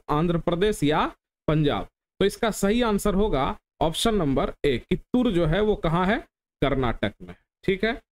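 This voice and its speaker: A man teaching an exam question lesson, instructive, repeating key points and asking rhetorical questions of his students. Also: high voice.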